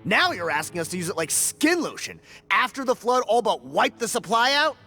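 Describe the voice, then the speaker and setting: A man's voice: high-pitched, very animated, moderate pace, bright, forceful tone, soft background music, heavily acted. An actor playing a sarcastic, combative male prison escapee in a game cutscene. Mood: bitter. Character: bitter hot-tempered male prison escapee.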